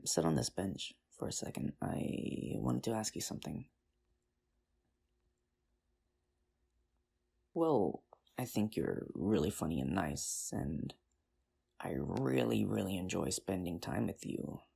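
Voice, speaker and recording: A performer in an ASMR roleplay playing a nerdy, earnest high school teacher, rambling with hesitant, self-deprecating charm in an intimate, conversational way. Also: vocal fry, darkish tone, husky, full character voice. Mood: nervous, tender.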